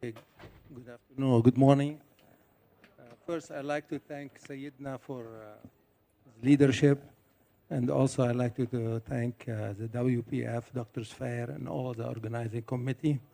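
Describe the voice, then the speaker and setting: A man's voice: medium pitch, steady tone, normal speed, gravelly, dark tone. A middle-aged man from Lebanon speaking as a conference panelist, hesitant with many fillers. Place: conference hall.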